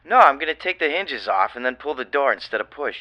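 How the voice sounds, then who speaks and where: A man playing a lively officer, dull audio. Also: mid-range voice, a little fast, natural voice. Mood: practical. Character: practical male police officer working on a door. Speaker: actor in an audio drama.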